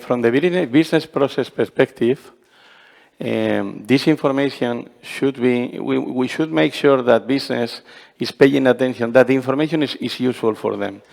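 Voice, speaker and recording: A man with a gravelly, fry-laden voice answers on a conference panel. He searches for words and repeats himself as he goes. A long hall reverb trails behind him. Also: medium pitch, a little mellow. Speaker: panel speaker.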